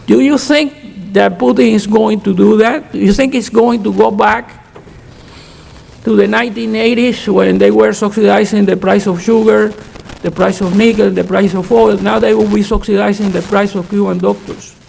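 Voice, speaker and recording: A man's voice: sits high, fairly even intonation, normal speed, gravelly, darkish tone, slight background noise. An older man on a conference panel, answering audience questions in a frank, emphatic way and restarting words as he goes. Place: conference hall. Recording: reverberant hall.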